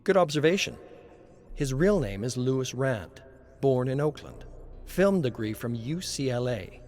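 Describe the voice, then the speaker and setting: A man voicing a female agent who briefs an operative in a crisp, matter-of-fact manner. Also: mid-range voice, expressive, measured pace, a little mellow, faint music behind, voice pushed up, close to an everyday voice. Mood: businesslike.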